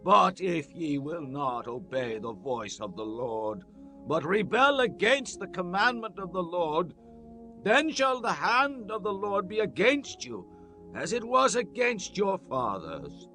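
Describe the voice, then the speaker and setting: A man's voice: high-pitched, sing-song, slow pace, sharp tone, exaggerated voice. A man playing a stern, grey-headed old prophet admonishing his people in solemn, declamatory Bible drama. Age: older. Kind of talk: dramatized King James Bible reading. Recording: music bed under the voice.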